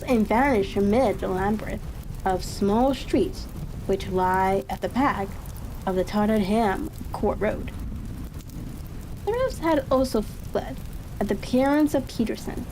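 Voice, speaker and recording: A woman giving a calm ASMR reading of a classic story, with halting, unhurried phrasing and a soft crackling ambience behind her. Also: medium pitch. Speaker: audiobook reader. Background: fireplace crackle and ambient noise.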